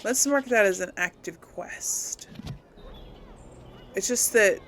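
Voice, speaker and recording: Slow-paced woman casually narrating her gameplay. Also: mid-range voice, fairly bright tone, a little hiss or hum. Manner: rambling, thinking aloud, chuckling. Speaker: let's play host. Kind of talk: casual unscripted game commentary, talking to viewers.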